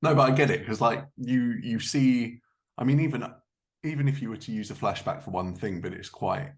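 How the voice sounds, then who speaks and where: A man's voice: mid-range voice, moderate pace. A man hosting a casual podcast interview, agreeable and a little hesitant as he puts his questions. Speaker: podcast host interviewing a guest.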